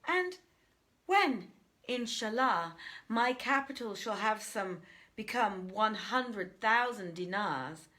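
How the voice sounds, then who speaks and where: Woman reading as a boastful, animated daydreaming merchant man. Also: high-pitched, heavily acted. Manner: grandiose, self-absorbed musing. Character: boastful daydreaming glassware seller, a man greedy for riches and rank. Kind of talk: dramatic storybook reading.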